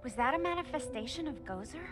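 A woman's voice: high-pitched, expressive, quick pace. An actress playing a composed young woman in danger in a video game, direct and questioning. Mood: tense, decisive. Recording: game music clearly behind.

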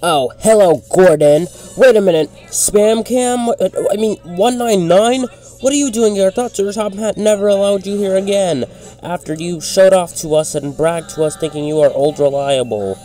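A man's voice: sits high, moderate pace, dark tone, soft background music, voice pushed up, lightly acted. A man voicing a proud, pompous, easily outraged male steam engine in a children's story. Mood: startled, scornful.

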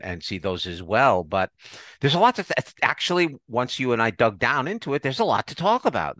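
A man's voice: lowish voice, fairly bright tone, dry recording, clean studio sound. An older man hosting an arts podcast in a conversational interview style. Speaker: podcast host.

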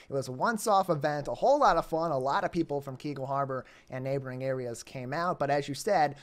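A young man hosting a local community TV interview over a video call, with a clear, announcer-like delivery. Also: a little high. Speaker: interview host. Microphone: webcam or laptop mic on a video call.

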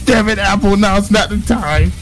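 A man's voice: high voice, normal speed, faint music behind. A man reading a visual novel aloud as a let's-play host, with crude jokes, swearing and exclamations. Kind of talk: casual gaming commentary with read-aloud narration.

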